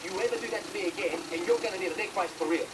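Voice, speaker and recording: A man's voice: sits high, rapid-fire, far from the mic, very noisy, natural voice. An actor playing a bullying con man who threatens others and then hams up a fake leg injury. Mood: aggressive. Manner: threatening, then hamming up pain.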